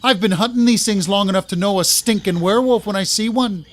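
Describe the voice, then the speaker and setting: A man's voice: high voice, fairly quick, close to an everyday voice. Man acting taunting, folksy monster hunter. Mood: scornful, hardened. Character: menacing, mocking old-fashioned male monster hunter. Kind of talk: dramatized audiobook villain acting.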